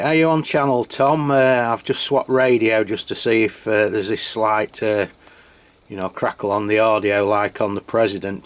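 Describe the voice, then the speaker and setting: A man from England reviewing a radio outdoors on a cold, wet hilltop, talking casually with lots of fillers and restarts. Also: on the low side. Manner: chatty, full of fillers and restarts. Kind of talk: casual radio chat to camera. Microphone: handheld radio mic and camera.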